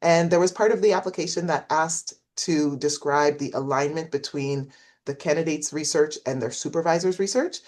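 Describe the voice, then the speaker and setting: A woman's voice: sits low, normal speed, darkish tone. A middle-aged woman, a professor, talking in an interview in a reflective storytelling way. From Canada. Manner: reflective, storytelling, acts out quoted voices. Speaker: guest, professor. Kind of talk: interview conversation.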